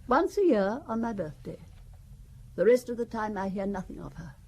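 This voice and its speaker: A woman's voice: medium pitch, sing-song, normal speed, breathy edge. An actress playing a wealthy older widow who talks about her family in a wry, confiding, gossipy way, in a radio drama. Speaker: Valentine Besson.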